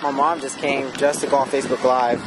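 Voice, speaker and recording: A young man vlogging on a sunny beach, teasing friends in casual slang, filmed on a handheld camera with loud wind and crowd noise. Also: medium pitch, little pitch movement, brisk. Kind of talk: casual vlog banter. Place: sunny public beach outdoors.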